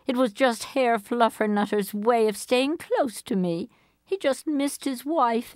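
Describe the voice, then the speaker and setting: A woman's voice: fairly high pitch, no echo, clean studio sound. An actress playing a lonely older woman in an audio play, dreamy, proud and easily wounded.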